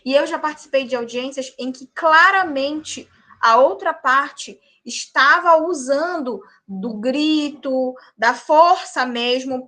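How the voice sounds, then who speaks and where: A woman's voice: high voice, a bit bright. A young woman, a law lecturer and practicing lawyer, giving a class in an emphatic way and checking in with her students after each point. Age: young adult.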